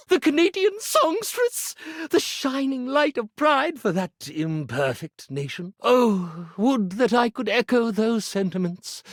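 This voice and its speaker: A man voicing a grandiose stage magician for a video game, an animated iron who declaims in a pompous, florid, melodramatic way. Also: a little high, no echo, clean studio sound, exaggerated voice. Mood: haughty, intrigued, then uneasy, evasive. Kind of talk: video game character voice acting.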